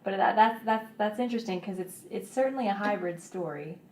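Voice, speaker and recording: A woman's voice: medium pitch, a little fast, roomy sound. A woman hosting a radio interview, introducing and questioning her guest. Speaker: interview host. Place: radio studio.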